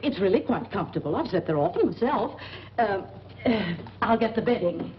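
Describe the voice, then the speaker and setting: A woman's voice: mid-range voice, animated, normal speed, close to an everyday voice. An actress playing a polite, managing boarding-house landlady in a 1940s film. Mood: polite, fussy. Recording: muffled, faintly noisy old film soundtrack.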